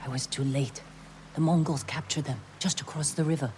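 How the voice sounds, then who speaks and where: A woman's voice: deep voice, steady tone, a little fast, darkish tone, a little hiss or hum, natural voice. A woman playing a tough, guarded fighter in a cinematic video game, speaking in blunt, terse phrases. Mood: regretful. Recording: dramatic music behind the voice.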